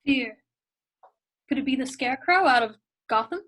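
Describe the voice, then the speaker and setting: Female actor as warrior superheroine, high pitch, measured, serious, composed, table read. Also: expressive, fairly bright tone. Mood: analytical. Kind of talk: script table read acting.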